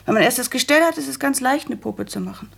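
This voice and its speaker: A self-assured, practical small-town wife in a radio play. Her voice is breathy and rough, with some fry in it. She talks in a knowing, pointed way. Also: high voice, expressive, quick pace, heavily acted. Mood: sly, pleased. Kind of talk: German radio play acting.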